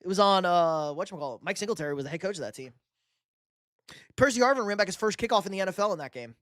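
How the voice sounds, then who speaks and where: Fast-chatting male sports streamer. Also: sits high, animated, a bit bright, no room sound, studio quality. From New York. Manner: loose, profane banter answering chat. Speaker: livestream host. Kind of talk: casual live stream chat reading viewer questions.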